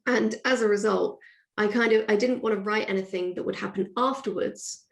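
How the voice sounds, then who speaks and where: A woman's voice: medium pitch, a little fast, gravelly. A woman author being interviewed, chatting casually and thinking aloud in a discursive way. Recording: roomy, echoey sound.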